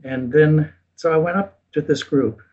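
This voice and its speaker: An older man talks with a husky, slightly rough voice, easygoing and ready to laugh at himself. He tells his story unhurried, as a guest in conversation. The recording sounds dull and lo-fi. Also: on the high side. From United States. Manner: relaxed, self-deprecating, chuckles at himself. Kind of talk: casual interview storytelling.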